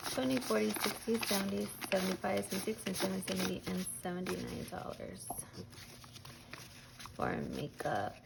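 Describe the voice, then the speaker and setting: A woman with a hoarse, raspy voice from losing it, chatting casually while counting out cash into budget envelopes. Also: mid-range voice, brisk. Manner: counts bills aloud in steady rhythm. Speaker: budgeting vlogger. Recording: noisy home recording.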